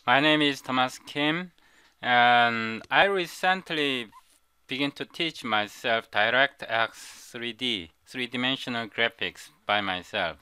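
A man's voice: medium pitch, slow pace, sharp tone, clean tone, dry recording. A middle-aged man, a native Korean speaker, gives a coding tutorial over a screen recording, often repeating and restarting his words. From South Korea. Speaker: programming tutor.

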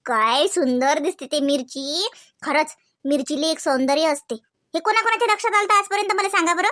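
Very high-voiced, playful young housewife played by a woman. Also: fairly quick, bright, forceful tone, no echo, clean studio sound. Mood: relishing. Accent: Varhadi Marathi from Vidarbha. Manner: chatty, dramatic, giggly. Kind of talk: comedy cartoon acting.

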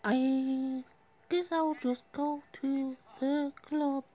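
A woman casually commentating her own gameplay while stuffy with a cold, speaking in a slow, low-key, self-conscious way into a phone mic. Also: fairly even intonation, warm tone. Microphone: phone screen recorder mic.

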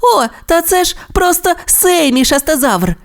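A storyteller voicing a fast, excitable little male dinosaur, in a very high, squealing voice. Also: natural voice. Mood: curious.

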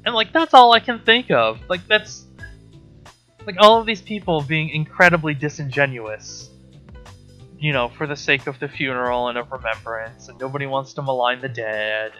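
A man's voice: medium pitch, moderate pace, sharp tone, faint music behind. A man reading aloud and commenting off the cuff as a host, sarcastic and incredulous, with exasperated, profane asides.

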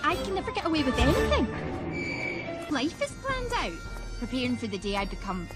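Spirited, high-voiced Scottish teen princess. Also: female voice, huge pitch swings, brisk, over loud music. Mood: rebellious. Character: spirited, defiant teenage princess. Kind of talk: animated film narration and dialogue.

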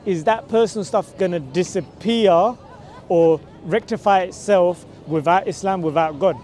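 A man's voice: high-pitched, normal speed, a little mellow. A man, talking outdoors in a busy city square and pressing his questions with persuasive, repeated checks like "right?". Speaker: street preacher. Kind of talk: street conversation. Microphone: camera mic from a few meters.

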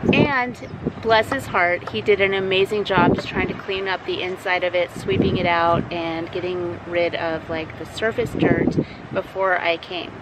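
A woman in her thirties talks in a light, soft voice, chatty and quick to laugh between remarks. She holds a camera with its built-in mic. Loud traffic and wind noise fill the outdoor parking lot. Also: lowish voice, fairly even intonation. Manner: chatty, laughing, tour-guide asides. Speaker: vlogger. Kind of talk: casual travel vlog. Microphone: handheld camera mic.

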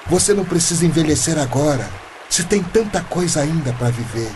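A man's voice: fairly high pitch, expressive, brisk, noisy background, close to an everyday voice. A man with a Brazilian accent playing an earnest, lovesick man of forty in a radio play, melodramatic and pleading. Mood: reflective.

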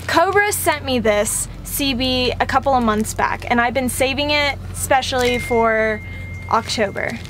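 A woman's voice: sits high, a little slow, fairly bright tone, a little hiss or hum, rough recording. A young woman chatting casually and informally to the camera as a vlog host, recorded inside a truck cab. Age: young adult.

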